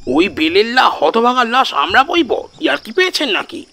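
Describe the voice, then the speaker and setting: A man's voice: very high voice, sing-song, moderate pace, sharp tone, pitched above the performer's own voice, exaggerated voice. A man playing an indignant, dismissive neighbourhood elder in an audio story, brusque and scornful. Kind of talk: audio story character acting.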